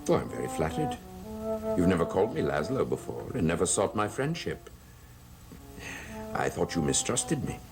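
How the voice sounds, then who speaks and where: An actor playing an unctuous, soothing gentleman relative with courtly theatrical manners in a gothic soap opera. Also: sits low, big pitch swings, normal speed, gravelly, long pauses, exaggerated voice. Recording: old TV soundtrack, loud music.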